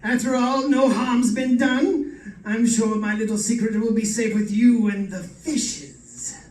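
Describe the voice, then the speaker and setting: Man playing a slow, high-voiced gloating villain. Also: steady tone, darkish tone. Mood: smug menace. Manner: theatrical, chuckling, suavely condescending. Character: gloating male villain, urbane murderer toying with detective. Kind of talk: live old-time radio drama acting. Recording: roomy stage recording, distant microphone.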